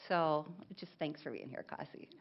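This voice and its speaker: A woman's voice: deep voice, moderate pace, highs cut off, very noisy. A woman school administrator giving a plainspoken presentation at a board meeting, stopping now and then to correct herself.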